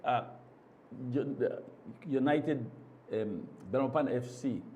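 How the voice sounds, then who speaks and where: A man's voice: medium pitch, highs cut off. A man hosting a live morning TV show in a studio, talking casually with guests and often repeating words as he goes. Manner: halting, repeats words, warm welcomes.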